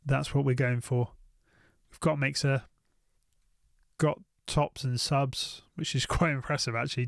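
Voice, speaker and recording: A British man talks through gear in a casual, unscripted way. His mid-pitched voice has plenty of vocal fry, and the sound is close and dry. He thinks aloud and tends to repeat short phrases. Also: no echo. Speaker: YouTube gear reviewer. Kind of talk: casual unscripted shopping commentary.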